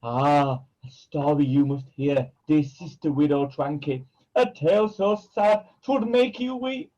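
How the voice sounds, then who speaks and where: An actor playing a scheming pantomime villain who poses as a kindly uncle, speaking in theatrical, wheedling rhyme. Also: male voice, on the high side, a little slow, warm tone, lo-fi sound. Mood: oily, effusive. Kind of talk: rhyming pantomime acting.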